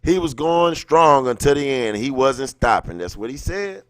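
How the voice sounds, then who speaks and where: A man's voice: medium pitch, expressive, normal speed, clear, resonant tone, dry recording. Informal man livestreaming, close dry sound. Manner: informal, slangy, greets viewers by name mid-thought. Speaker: livestream host. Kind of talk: casual livestream chat with read-aloud passages.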